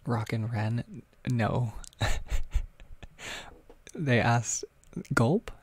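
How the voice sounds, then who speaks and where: A man hosting a relaxed livestream, talking softly and slipping into half-whispers, halting with fillers, his nose a little stuffy. He speaks close to the microphone. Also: medium pitch, breathy edge, dry recording. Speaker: ASMR audio creator hosting a livestream. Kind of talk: soft-spoken casual livestream chat, reading chat.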